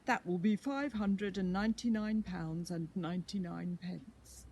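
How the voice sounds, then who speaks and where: A woman's voice: on the low side, unhurried, a little mellow, a little hiss or hum. A woman voicing a courteous, kindly male shopkeeper in a children's storybook, with polite, formal patter.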